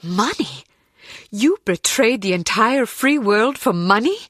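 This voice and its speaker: A woman's voice: a little high, lively intonation, takes it slowly, fairly bright tone, no room sound, studio quality, heavily acted. A woman voicing a poised, principled young British secret agent in a video game, composed and dryly witty. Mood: indignant.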